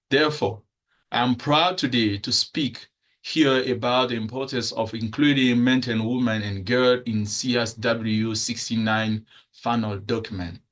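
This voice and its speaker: A young man from the Republic of Congo, a student speaker, giving a prepared formal speech in a measured way with occasional restarts. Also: medium pitch, fairly bright tone.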